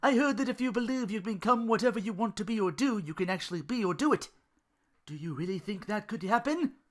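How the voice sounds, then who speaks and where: Podcast performer as naive young man sidekick, raised pitch, lively, eager, devoted, storybook character. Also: quick pace, natural voice. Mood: earnest, timid. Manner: deferential, excitable, wide-eyed.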